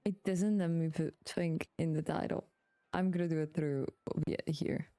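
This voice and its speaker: A woman with a fairly low, very mellow voice talks casually to viewers as she streams a game. She is slangy and swears freely. Faint game music plays behind her. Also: steady tone, a little slow, dry recording.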